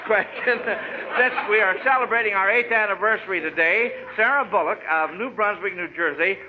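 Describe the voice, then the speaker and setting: An American man hosting a 1940s radio quiz in a folksy, encouraging, avuncular way. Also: high voice. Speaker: quiz show host. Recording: dull 1940s radio recording.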